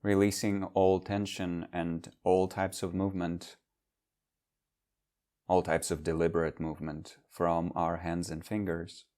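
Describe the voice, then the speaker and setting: Guy with a low voice doing guided meditation, measured teacher phrasing, leaves long pauses. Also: little pitch movement, warm tone.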